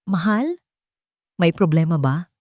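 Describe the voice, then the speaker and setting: A woman's voice: on the low side, big pitch swings, slow pace, a little mellow, dry recording, clean studio sound, full character voice. A woman with a Filipino accent voicing a soothing, scheming young wife in a tender, coaxing manner for an audio story. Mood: concerned.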